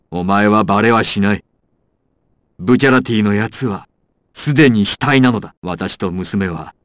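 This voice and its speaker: A man's voice: lowish voice, fairly bright tone, no room sound, clean studio sound, close to an everyday voice. A man playing a proud, ruthless crime boss villain in an anime fighting game, with an imperious, menacing and theatrical delivery.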